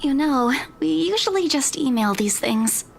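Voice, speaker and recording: A woman's voice: sits high, animated, measured pace, creaky, a little hiss or hum, exaggerated voice. A woman playing a nervous, chatty, sweet young female office worker in a visual novel game, hesitant and rambling. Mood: flustered.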